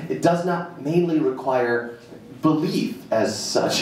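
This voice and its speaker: A man giving a theatrical live spoken-word reading of a monologue, with emphatic dramatic pauses and a playful manner. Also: medium pitch, darkish tone. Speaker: reader performing a written monologue. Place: indoor jazz club stage, live event. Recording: very echoey live venue, distant mic, noisy.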